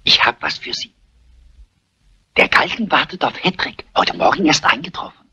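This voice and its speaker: An actor playing an anxious, devoted gentleman librarian in a crime drama, apologetic and politely formal. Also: fairly high pitch, big pitch swings, normal speed, breathy edge, full character voice. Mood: courteous, apologetic.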